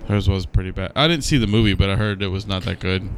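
A man's voice: sits low, fast talker, a little hiss or hum. A man co-hosting a casual podcast, agreeable and easygoing, with loose, profane phrasing. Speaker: podcast co-host. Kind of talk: casual chat.

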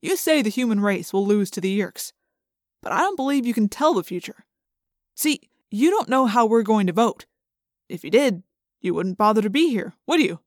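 A woman's voice: medium pitch, animated, moderate pace, dry recording, clean studio sound, close to an everyday voice. A woman voicing a brave teenage boy leader in an audiobook, with an urgent, commanding manner. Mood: challenging.

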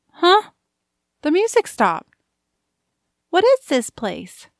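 A woman's voice: high voice, no echo, studio quality, full character voice. A woman playing a curious, innocent little troll girl, childlike and tender, in a family audio drama. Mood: curious, wondering. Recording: music behind the voice.

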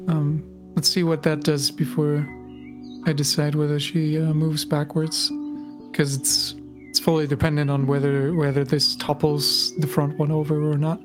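A man playing a tabletop role-playing game, casually and haltingly narrating his character's actions. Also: on the high side, completely flat delivery, music in the background.

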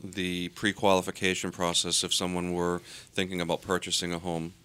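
A man co-hosting an interview show, asking questions in a measured way and reading the guest's background. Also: low-pitched, completely flat delivery, bright, forceful tone, clean tone. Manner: measured, reads background notes. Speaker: show co-host.

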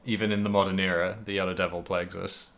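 A man with a slightly low voice, co-hosting a casual gaming podcast. He talks informally, with wry, mock-dramatic turns of phrase. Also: level tone, fast talker, vocal fry, a bit bright. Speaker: podcast co-host. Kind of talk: casual video game discussion.

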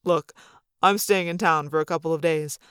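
Woman playing an easygoing dad, lowered pitch. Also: normal speed, fairly bright tone, clean tone, no echo, studio quality. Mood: reassuring. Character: easygoing, protective father, a serious TV reporter.

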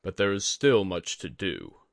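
A man's voice: low-pitched, wildly swooping pitch, unhurried, gravelly, dry recording, clean studio sound, exaggerated voice. A man voicing an earnest, steadfast young male student in a restrained, resolute way for a fantasy audiobook. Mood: composed.

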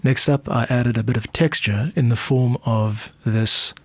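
A South African man hosting a podcast, explaining in a measured way like a music producer walking through his work. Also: medium pitch, steady tone.

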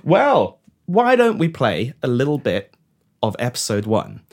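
Lively, playful male podcast host. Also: mid-range voice, measured pace. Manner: teasing, playful. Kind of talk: comedic scripted-sounding chat.

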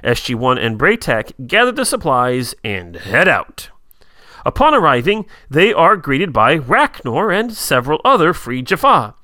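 A man co-hosting a podcast, retelling a TV episode in quick casual chat with asides. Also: on the high side, very animated, pushed and bright, no room sound. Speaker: podcast co-host.

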